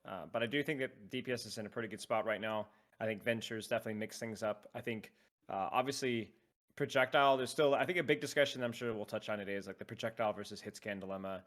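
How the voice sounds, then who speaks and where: Quick-talking man of about thirty. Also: lowish voice, a bit bright. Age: around 30. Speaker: podcast guest. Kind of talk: casual gaming discussion.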